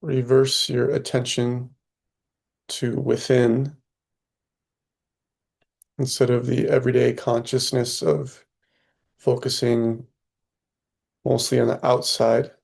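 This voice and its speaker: A man leading a guided meditation, speaking softly and slowly with long pauses between phrases. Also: mid-range voice, monotone, vocal fry, husky. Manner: slow, soft, long pauses.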